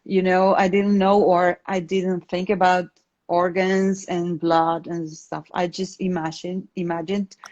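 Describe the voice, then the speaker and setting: An Argentine woman talks casually about her own work as an interview guest. She speaks slowly and haltingly, feeling for words in English, which is not her first language. The sound is slightly dull, like an online call. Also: lowish voice, little pitch movement, a little mellow. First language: Spanish.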